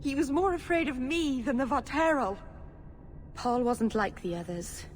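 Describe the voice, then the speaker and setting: Earnest, higher-voiced young elf. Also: female voice, animated, normal speed, a little hiss or hum. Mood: sad, troubled. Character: earnest young female elf mage, gentle but stubborn. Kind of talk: fantasy video game voice acting.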